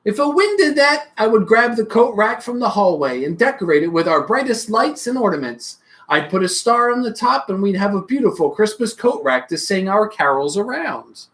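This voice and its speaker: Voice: mid-range voice, big pitch swings, moderate pace, a bit bright. A storybook reader playing a loving, imaginative mother who answers in a reassuring, playful way.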